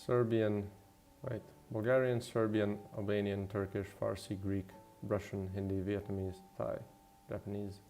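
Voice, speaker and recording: A man talks casually on a stream while he works. He rambles and thinks out loud in an unpolished, conversational way. Music plays faintly behind him. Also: fairly low pitch, flat delivery, a little slow. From Slovenia. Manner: rambling, thinking aloud, mildly profane. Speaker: game developer and streamer. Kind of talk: casual livestream chat while working. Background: background music.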